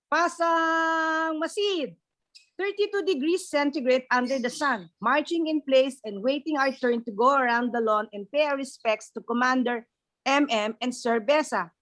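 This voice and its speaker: A woman's voice: high voice, no room sound, natural voice, low-quality recording. A woman with a Filipino accent playing an alumna recounting schoolgirl mischief in a table read. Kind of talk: table read of a reunion script over video call. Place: indoors on a video call.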